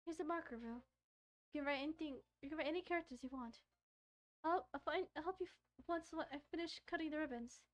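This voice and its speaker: A woman acts out a shy, bookish visual novel girl with a high, delicate pitch. She is nervous and self-conscious, hesitant and quick to apologise. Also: lightly acted. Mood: helpful. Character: shy, nervous, bookish anime girl who adores knives.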